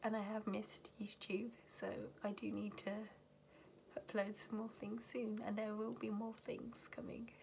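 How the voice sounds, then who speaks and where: A young woman from the UK talks casually to her webcam from her bedroom, her voice raspy and gravelly from a recent illness. She drifts into soft, half-whispered phrasing and jokes at her own expense. A faint hiss sits under the recording. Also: medium pitch, little pitch movement, measured pace. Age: young adult. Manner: chatty, self-deprecating, often half-whispered. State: raspy voice from being ill. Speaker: university student vlogger. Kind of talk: casual chat to camera. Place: bedroom at home, indoors.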